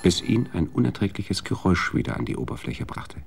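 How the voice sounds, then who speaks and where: Very deep gravelly guy narrating a radio play, slow literary tone, music under it. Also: big pitch swings, a little hiss or hum. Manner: measured, literary storytelling. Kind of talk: scripted radio drama narration.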